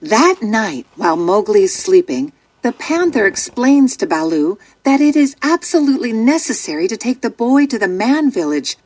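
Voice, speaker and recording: High, expressive woman storyteller. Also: moderate pace, hardly any pauses, slight background noise. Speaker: storybook narrator. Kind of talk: scripted children's story narration.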